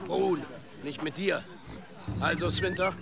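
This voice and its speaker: Gravelly, raging village man. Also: fairly high pitch, takes it slowly, music in the background. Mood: menacing anger. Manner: gruff, threatening, shouting. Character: angry, commanding village man in medieval drama. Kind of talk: audio drama acting.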